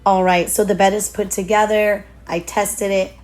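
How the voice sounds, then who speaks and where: A woman in her thirties with a hoarse voice, chatting casually and upbeat through a vlog voiceover. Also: mid-range voice, little pitch movement, moderate pace, a little mellow, faint music behind, poor audio. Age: 30s. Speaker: lifestyle vlogger.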